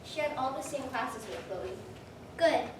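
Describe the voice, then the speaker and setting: A woman's voice: high voice, animated, moderate pace. A young woman narrating a scripted story for reader's theater, heard from far off in a very echoey, noisy room.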